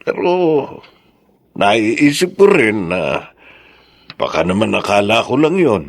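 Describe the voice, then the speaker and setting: Husky, low, slow-talking elderly Filipino father. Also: very animated, heavily acted. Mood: hesitant, wistful. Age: older. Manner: hesitant, humble, soft-hearted. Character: gentle, hurt elderly father-in-law, an ex-convict. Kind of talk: Filipino radio drama acting.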